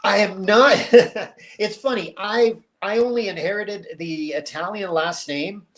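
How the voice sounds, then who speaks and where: A middle-aged man born in Cuba, a guest telling family stories in a relaxed, easy way and laughing often. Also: high voice, unhurried. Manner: relaxed storyteller, laughs easily. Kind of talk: casual interview chat.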